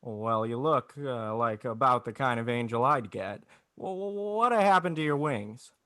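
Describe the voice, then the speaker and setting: A man's voice: mid-range voice, animated, a little slow, a bit bright, no room sound, studio quality. A man playing a despairing, wry, bewildered family man with a stammering, folksy manner, in 1940s radio drama style. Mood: wry disbelief. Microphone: video call.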